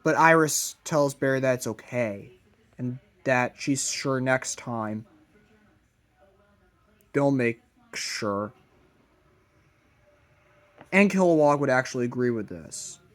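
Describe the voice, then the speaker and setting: A man narrating a fan-made plot idea in a halting way, in short broken phrases. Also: medium pitch, expressive.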